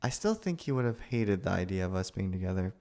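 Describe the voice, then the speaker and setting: A man acting a nervous, awkward, lovestruck young man in a gentle roleplay, with hesitant, stammering delivery. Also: sits low, big pitch swings, quick pace. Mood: stunned, agitated. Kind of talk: ASMR boyfriend roleplay acting.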